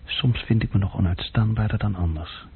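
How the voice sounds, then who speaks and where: Young sardonic group leader, radio drama monologue, husky, dry, clipped, self-critical, muffled narrow-band old recording. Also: male voice, fairly low pitch, fairly quick. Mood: musing, self-mocking. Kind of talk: radio play inner monologue.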